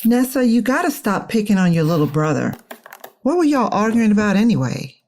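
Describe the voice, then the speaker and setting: A woman acts out a middle-aged mom in a family drama. She is brisk, colloquial and no-nonsense, with care underneath. Her pitch sits in the middle, over faint household noise. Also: sing-song, darkish tone, natural voice. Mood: scolding, exasperated. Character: no-nonsense, scolding yet caring mother. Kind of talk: naturalistic screen drama acting.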